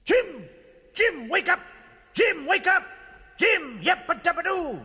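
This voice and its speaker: A man voicing a small, excitable mouse hero in a children's story, calling out urgently and repeating himself. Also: very high-pitched, slow, big-room sound, pitched above the performer's own voice, exaggerated voice. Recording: music behind the voice.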